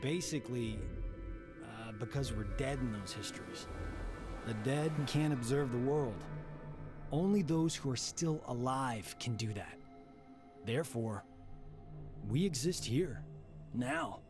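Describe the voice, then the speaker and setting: An actor voicing a level-headed, knowledgeable man in a video game, measured and matter-of-fact. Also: mid-range voice, darkish tone, lightly acted. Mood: thoughtful, measured. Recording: music under the voice.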